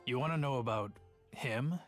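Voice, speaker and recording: A man's voice: mid-range voice, moderate pace, over music, lightly acted. An actor playing a confiding townsman who speaks as an eyewitness in a video game.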